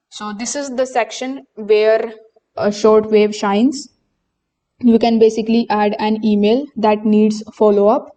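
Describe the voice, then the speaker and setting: Female tutorial narrator, flat and mellow delivery, patient step-by-step explanation. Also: mid-range voice. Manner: steady explanatory instruction with occasional fillers. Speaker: software tutorial presenter. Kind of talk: screen-recorded software walkthrough.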